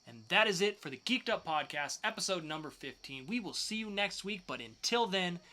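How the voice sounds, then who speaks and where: A man's voice: high-pitched, animated, brisk, bright, forceful tone. A man co-hosting a podcast in casual banter, speaking loosely and swearing freely. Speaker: podcast co-host.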